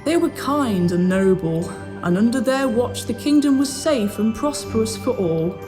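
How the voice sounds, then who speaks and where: A woman storyteller reads a scripted fairy tale for a pantomime. Her voice is fairly high, with clear music playing behind it. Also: expressive, a little slow. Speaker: storyteller narrator.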